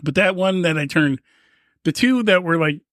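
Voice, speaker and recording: Man, fifties, podcast co-host, rambling casual chat, swears a lot, slightly high voice, dry close sound. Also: animated, normal speed, a bit bright, no room sound. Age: 50s. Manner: laid-back, swears often, rambling with restarts.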